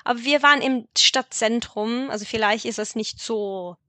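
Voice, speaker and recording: A woman's voice: on the high side, normal speed, sharp tone, no echo. A woman from England with an English accent, a guest on an interview telling anecdotes in learned German, simple phrasing and frequent laughs. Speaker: guest, language teacher. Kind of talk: casual interview chat.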